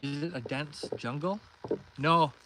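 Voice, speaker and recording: A nineteen-year-old man from Northern Ontario with a Canadian accent, chatting in a relaxed, friendly way and reading viewer comments aloud on a live stream. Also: a little high, a little slow. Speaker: live-streaming fishing host. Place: outdoors on a lake in a canoe. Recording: outdoor stream with clear background noise. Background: water and boat noises.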